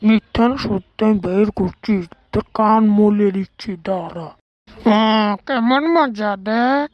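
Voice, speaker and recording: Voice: medium pitch, a little slow, no room sound, dull audio, natural voice. A performer voicing a bossy, fed-up boss and parent who scolds and grumbles in an amateur cartoon dub. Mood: scolding.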